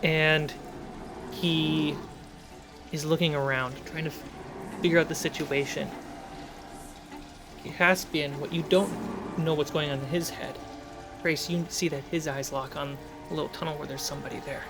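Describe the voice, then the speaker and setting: A man narrating a tabletop fantasy game as game master, in a measured, suspenseful way with dramatic pauses. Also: on the high side, steady tone. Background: cinematic music score.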